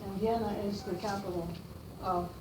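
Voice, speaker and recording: An older woman from Austria with an Austrian German accent, her first language German, speaking to a class of students in a halting way full of fillers and restarts. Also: mid-range voice, fairly even intonation. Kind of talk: classroom talk. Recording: roomy, distant, noisy room.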